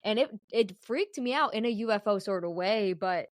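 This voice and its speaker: A woman co-hosting a podcast in casual chat, informal and expressive in how she reacts. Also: medium pitch, moderate pace, no room sound, clean studio sound. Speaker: podcast co-host.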